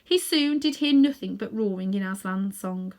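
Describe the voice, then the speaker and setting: A woman narrating a children's storybook aloud in an expressive reading style. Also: medium pitch, normal speed. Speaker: storybook reader.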